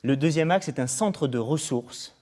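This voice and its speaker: A man's voice: a little high, moderate pace, a little mellow. A man speaks as an interviewed coordinator. He explains things formally, setting out points one after another in the manner of a promotional presentation. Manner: formal, explanatory, enumerating in lists. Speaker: programme coordinator being interviewed.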